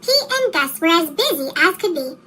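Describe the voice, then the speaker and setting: A storyteller with a pitched-up chipmunk effect, reading a children's story in an animated, expressive way for young listeners. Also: very noisy. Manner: animated, expressive, slow read-aloud delivery for young children. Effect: chipmunk-style pitched-up voice. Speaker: storybook reader. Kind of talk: scripted children's storybook narration.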